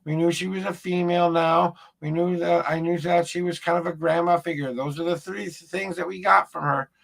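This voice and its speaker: A man with a gravelly voice, hosting his own paranormal show, talking casually to camera in a rambling, plainspoken way. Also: a little high, steady tone, brisk, a bit bright. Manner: rambling, plainspoken, restarts sentences. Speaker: paranormal investigator host.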